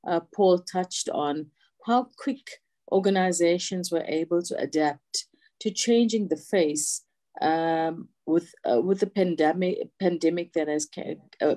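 A woman speaking on a video call with a husky voice because she is unwell, answering politely and thoughtfully with many fillers. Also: fairly low pitch, warm tone. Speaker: HR contributor from the mining industry. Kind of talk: panel answer.

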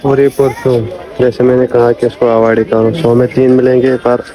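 A man's voice: medium pitch, flat delivery, moderate pace. A young man vlogging as he walks through a crowded outdoor street market, chatting to viewers about prices, recorded on a handheld camera at arm's length. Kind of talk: walking market tour vlog. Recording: loud crowd noise around. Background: loud market crowd.

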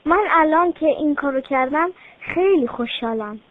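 Woman as a kind little girl, high bright voice, pleading, tinny thin sound. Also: animated. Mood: earnest. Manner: eager, pleading child voice.

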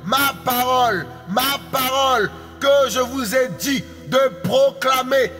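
A man's voice: very high-pitched, takes it slowly, pushed and bright. A man delivering a prophetic utterance, with intense, repetitive declaiming and speaking in tongues. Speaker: prophetic preacher. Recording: long hall reverb, faint music behind.

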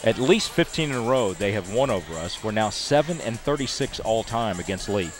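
A man's voice: lowish voice, expressive, a little fast, soft background music, some chatter behind. An older man hosting a postgame interview on a headset mic, chatty and full of stats. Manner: chatty, stat-heavy, upbeat delivery. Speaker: sports broadcaster.

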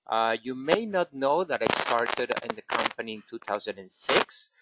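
A man's voice: medium pitch, animated, normal speed. A man with a Venezuelan Spanish accent, a genealogy expert hosting a live webinar and walking through a website, speaking carefully with many fillers. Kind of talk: live webinar with screen-share walkthrough. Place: office in Israel. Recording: dull, slightly static home mic.

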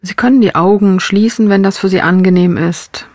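A woman leads a guided meditation in the manner of a mindfulness teacher. Her voice sits at a medium pitch and her phrasing is gentle and measured. The recording is dry and close to the microphone. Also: dry recording. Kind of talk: guided body scan meditation narration.

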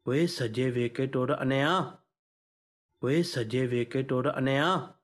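Man playing an excitable Punjabi soldier, slow-paced. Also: medium pitch. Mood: gruff, low-key. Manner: exclamatory, starts calls with "oye". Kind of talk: comic game voice-pack callouts, short shouted commands.

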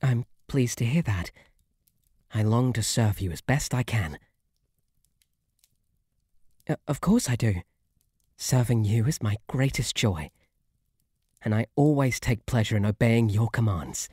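Breathy, flustered British bunny butler, acted by a man. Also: mid-range voice, expressive, a little slow, a little mellow, no room sound, clean studio sound. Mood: devoted. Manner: flustered, deferential, formal, stammering. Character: shy, devoted, submissive male bunny butler. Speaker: roleplay audio performer. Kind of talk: intimate ASMR roleplay acting. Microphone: close, dry microphone.

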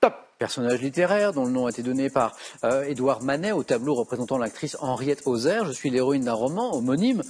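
A middle-aged man hosting a TV quiz show, reading clues briskly and giving quick verdicts. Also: mid-range voice, expressive, a little mellow, husky. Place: large TV studio set.

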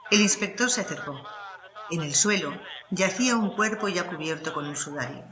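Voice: medium pitch, expressive, moderate pace, reverberant, crowd noise. A narrator reading a scripted crime story for an audiobook.

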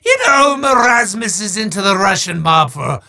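A maniacal video game wizard villain, pitched high and swooping wildly up and down. The voice is bright and forceful, full of grandiose, theatrical bluster that breaks into offhand asides. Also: male voice, very animated, creaky, exaggerated voice. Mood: chatty, sheepish. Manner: grandiose, theatrical, booming declarations dropping into fast asides. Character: bombastic, maniacal, hard-up male evil wizard.

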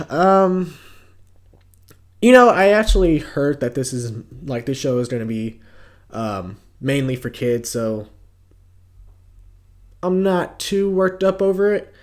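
A man's voice: fairly high pitch, sing-song, slow pace, pauses often. A man in his twenties with an American accent, giving casual, slangy, somewhat hesitant commentary as a video host. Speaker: YouTube host reviewing entertainment news.

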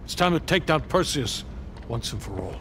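An actor playing a stern, no-nonsense American CIA handler in a video game, speaking firmly. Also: male voice, fairly high pitch, very animated, moderate pace, fairly bright tone, a little hiss or hum. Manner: stern, firm. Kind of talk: scripted video game cutscene acting.

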